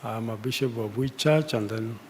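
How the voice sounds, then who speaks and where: Man running the hearing, formal and a bit stern, echoey hall with low hum. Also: moderate pace, a little mellow. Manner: formal, instructive, slightly stern. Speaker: committee chair. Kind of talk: chairing a parliamentary hearing. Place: large hearing room. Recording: long hall reverb, faint room noise.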